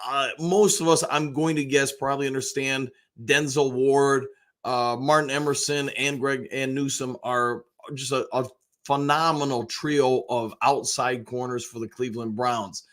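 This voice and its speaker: Male American sports show host, medium pitch, mildly hoarse, casual conversational commentary that wanders as he goes. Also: fairly even intonation, unhurried, a bit bright. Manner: chatty, rambling, conversational. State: slightly hoarse. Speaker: sports show host, basketball coach and realtor.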